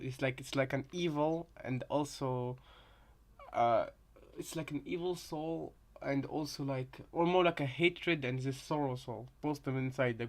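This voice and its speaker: A man giving casual reaction commentary on game voice lines, rambling and halting, often repeating his phrases. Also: mid-range voice. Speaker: reaction video host.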